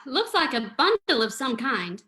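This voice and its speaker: Female actor as plucky heroine, radio play: bright, fairly high, alert. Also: very animated, measured pace, heavily acted. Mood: alert, concerned. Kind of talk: old-time radio drama acting.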